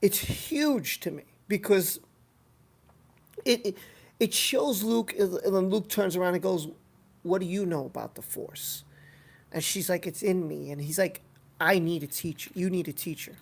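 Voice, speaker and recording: A live stream host with a heavily creaky, gravelly voice talks off the cuff to viewers. The delivery is casual and rambling, moving between reading comments aloud and giving opinions, with phrases often repeated for emphasis. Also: medium pitch, unhurried.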